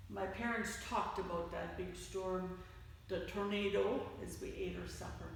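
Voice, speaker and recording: A woman reads a children's story aloud at a slow, careful pace, stressing key words expressively. She sounds set back from the microphone in a very echoey hall, and her voice sits at a middle pitch. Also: fairly even intonation, creaky. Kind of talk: read-aloud picture book storytelling for children. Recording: echoey hall, distant mic, faint noise.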